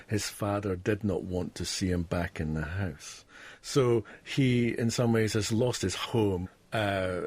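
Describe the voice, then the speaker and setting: A man speaking as an academic researcher, explaining history in a documentary interview. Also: fairly low pitch.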